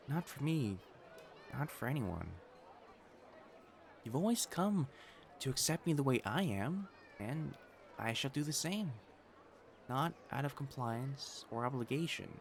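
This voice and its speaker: A man's voice: medium pitch, vocal fry, slight background noise. A man playing a prim young matchmaker fiancé in a romantic audio roleplay, formal and earnest in speech, easily flustered. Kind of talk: intimate scripted romance roleplay acting.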